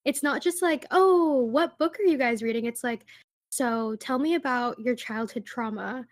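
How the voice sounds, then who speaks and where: A woman's voice: high voice, moderate pace. A woman in her twenties co-hosting a podcast, chatting casually with many fillers and frequent laughter. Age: 20s. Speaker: podcast co-host. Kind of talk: casual chat.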